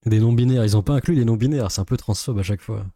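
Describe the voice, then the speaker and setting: A man's voice: medium pitch, fast talker, mellow, a little hiss or hum. A man giving casual, sardonic, complaining live commentary as a streamer. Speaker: streamer, reaction host.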